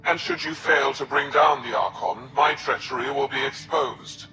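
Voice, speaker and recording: An actor playing a proud, calculating alien military commander in a video game, speaking formally and with measured authority. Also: male voice, medium pitch, pushed and bright, faint music behind, lightly acted, poor audio. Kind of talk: video game character acting.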